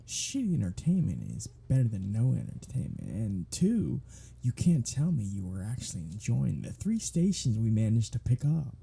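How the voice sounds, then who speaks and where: A cocky, sardonic vampire, played by a voice actor, in an ASMR audio drama. The voice is very dark and husky, full of breath, and swings widely in pitch. The vampire drawls out teasing, sarcastic banter. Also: exaggerated voice. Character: cocky, sardonic, teasing, foul-mouthed vampire riding shotgun. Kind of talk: ASMR roleplay audio drama, one-sided conversation.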